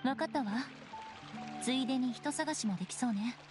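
Woman playing a cool high-voiced lady knight. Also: fairly quick, over music, natural voice. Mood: composed, teasing. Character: cool, self-assured young female knight. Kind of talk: anime game dialogue.

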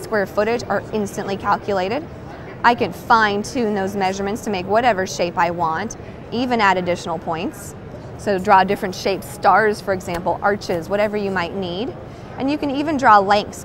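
A woman in her thirties giving an upbeat product demonstration at a trade show booth on a lapel mic. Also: mid-range voice. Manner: upbeat, clear sales presentation. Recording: trade show floor noise behind.

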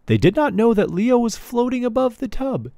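A man's voice: very high-pitched, very animated, moderate pace, vocal fry, warm tone, no room sound. A man reading a children's book aloud as a storyteller. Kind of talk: storybook read-aloud narration.

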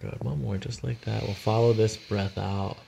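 Soft, creaky-voiced man giving ASMR instructions. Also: lowish voice, normal speed, a little hiss or hum. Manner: soft-spoken, calm, coaching step by step. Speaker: chiropractor. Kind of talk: hushed ASMR treatment instructions. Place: small carpeted treatment office, indoors. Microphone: boom-mounted microphone close to the treatment table.

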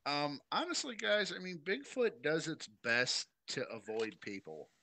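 Podcast co-host, man, lively swooping delivery, bright tone, rambling comedy chat. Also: a little high, a little slow, a little hiss or hum. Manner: animated, laughing, rambling.

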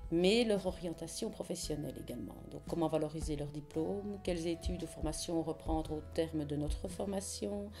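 A woman calmly explaining her association's training programmes in a documentary interview. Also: low-pitched, moderate pace, hardly any pauses, faint music behind. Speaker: staff member of a training association.

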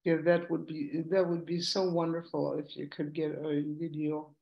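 An older woman acting as discussant, asking questions slowly and deliberately over a video call from a room at home. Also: sits low, little pitch movement, vocal fry. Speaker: discussant, interviewer. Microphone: laptop webcam on video call. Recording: roomy, muffled video call audio.

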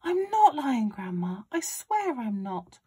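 Woman playing young boy, high-pitched, earnest, insistent, storybook character, calling out. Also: sing-song, slow pace, husky, full character voice. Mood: protesting. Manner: calling out loudly, protesting.

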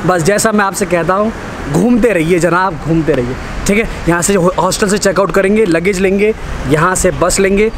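A young man with a high-pitched voice chats enthusiastically while vlogging into a camera held at arm's length. He keeps up a casual travel-guide patter as he moves through busy public places. Loud street and terminal noise stays behind him the whole time. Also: normal speed. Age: young adult. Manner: chatty, enthusiastic, mixes English into Hindi. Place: streets, bus and airport terminal. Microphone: handheld camera at arm's length.

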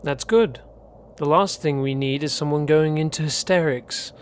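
A man's voice: on the high side, normal speed, slight background noise, close to an everyday voice. An actor playing a dry, weary, pragmatic man in a horror audio drama.

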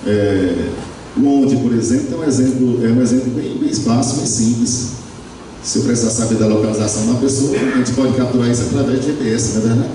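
A man's voice: medium pitch, steady tone, fairly quick, noisy background. A middle-aged man giving a university lecture into a handheld mic, explaining step by step with frequent tag questions and fillers. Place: lecture room with projector. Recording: very echoey room, far from camera.

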